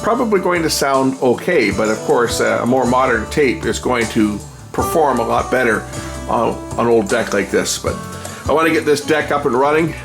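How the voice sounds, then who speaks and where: A mid-pitched man talks through a hands-on repair job in a casual, unscripted way. He rambles and thinks aloud as he works, with clearly audible music in the recording. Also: animated, normal speed. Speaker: repair hobbyist presenting a video.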